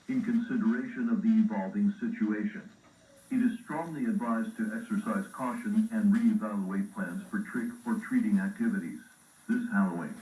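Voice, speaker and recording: Guy doing a stern official alert announcer, lowish voice, measured and impersonal, sounds roomy. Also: warm tone, lightly acted. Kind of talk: formal scripted public alert. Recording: roomy, distant, faintly noisy broadcast playback.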